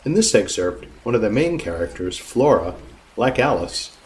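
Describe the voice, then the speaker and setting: A man's voice: on the low side, big pitch swings, normal speed, darkish tone, slight background noise. A man reading scripted literary introductions as a podcast narrator, formal and expository.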